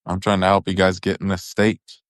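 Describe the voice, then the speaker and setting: A man's voice: deep voice, monotone, fairly quick, clean tone, dry recording, studio quality. A man narrating a storybook for bedtime in an informal, occasionally stumbling way.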